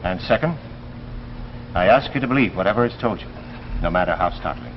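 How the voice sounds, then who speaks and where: Deep, solemn committee doctor, vintage sci-fi. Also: male voice, expressive, a little hiss or hum. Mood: solemn, formal. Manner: formal, deliberate, grave. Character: solemn, authoritative doctor heading a secret scientific committee. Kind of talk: 1950s science fiction anthology drama acting. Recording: dull vintage 1950s broadcast, music behind.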